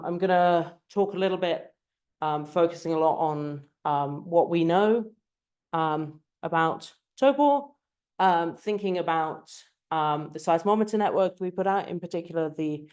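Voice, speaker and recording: A low-pitched woman from England gives an academic seminar talk. She speaks hesitantly and fills her pauses with ums and uhs. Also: darkish tone, clear, resonant tone. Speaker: guest speaker, postdoctoral researcher.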